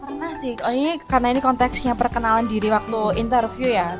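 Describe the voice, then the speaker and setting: A woman's voice: on the high side, fairly even intonation, fast, music in the background. A woman in a casual chat, explaining thoughtfully and listing things one by one.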